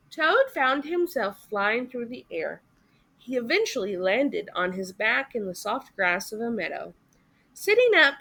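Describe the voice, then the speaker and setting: A woman reading a story aloud as expressive narration for children. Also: a little high. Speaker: story reader.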